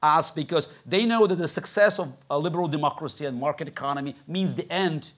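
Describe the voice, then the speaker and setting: A man in his fifties with a Russian accent, a native Russian speaker talking as a guest on a theatre stage, halting, with many restarts and "you know" fillers. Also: on the high side, fairly bright tone. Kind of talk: onstage conversation before an audience. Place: large theatre stage, indoors.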